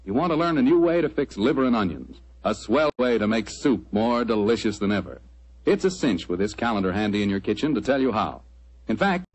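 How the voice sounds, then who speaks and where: An American man announcing a 1940s radio show and pitching the sponsor in a chummy, folksy way. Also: breathy edge, rough recording.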